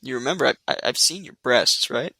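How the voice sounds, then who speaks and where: A man's voice: medium pitch, lively intonation, brisk, pushed and bright. An actor playing a wry young man with dry, teasing humor who looks after his sick date in a radio play.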